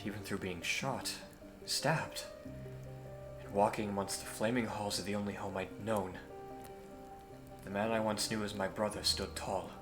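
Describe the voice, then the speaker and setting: A man playing a courteous, earnest young Victorian gentleman hero in a scripted audio roleplay, with formal, hesitant phrasing. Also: fairly low pitch, steady tone, measured pace, music in the background, close to an everyday voice. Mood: grave, sorrowful.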